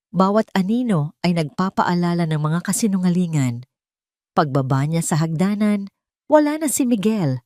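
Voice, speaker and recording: Low-pitched Filipino woman narrating drama, dry sound. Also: a little mellow, no room sound. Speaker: audio story narrator. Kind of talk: dramatic scripted story narration.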